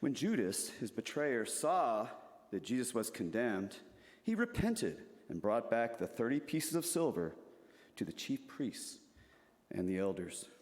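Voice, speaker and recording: Animated man reading scripture, long reverb. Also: mid-range voice, a little slow. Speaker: scripture narrator in church reader's theater. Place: large church sanctuary. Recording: reverberant hall.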